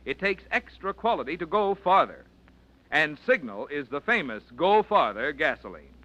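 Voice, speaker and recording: A man's voice: on the high side, sing-song, moderate pace, pushed and bright. Upbeat male radio announcer. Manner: upbeat and persuasive. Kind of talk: vintage radio sponsor announcement. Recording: dull vintage radio recording.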